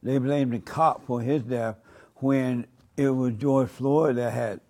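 A man's voice: medium pitch, fairly even intonation, slow pace, dark tone. An older man hosting a talk show, asking blunt and provocative interview questions.

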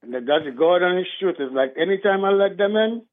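A man's voice: sits high, lively intonation, quick pace. A man from Trinidad, calling in to a radio show and speaking earnestly in a rambling way. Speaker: caller. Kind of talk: call-in talk radio. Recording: tinny phone line.

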